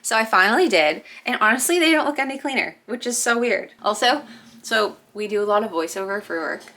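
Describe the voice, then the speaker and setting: A woman's voice: mid-range voice, animated, brisk, bright, forceful tone. Maritime-accented woman telling chatty stories. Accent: Canadian Maritime. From Ontario, Canada. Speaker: family vlogger. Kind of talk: casual vlog chat.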